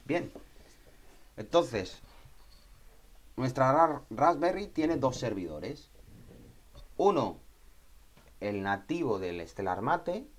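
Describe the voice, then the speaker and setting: A man presenting an informal step-by-step software tutorial, thinking aloud and often checking in with short questions. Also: mid-range voice, sing-song, drawn-out words, long pauses.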